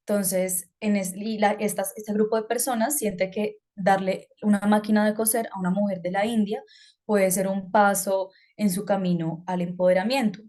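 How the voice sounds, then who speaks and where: A woman's voice: mid-range voice, steady tone, moderate pace, dark tone. Colombian woman giving a casual talk. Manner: conversational, hesitant with fillers, asks about slides. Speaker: speaker. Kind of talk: online talk presentation.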